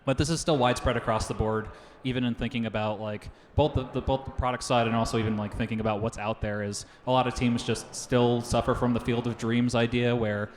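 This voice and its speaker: Fast-talking man on a panel. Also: mid-range voice, steady tone, slight background noise. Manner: rapid, direct, advising. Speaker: panelist, investor and builder. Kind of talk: panel debate answer. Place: large conference hall. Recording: roomy echoey conference hall.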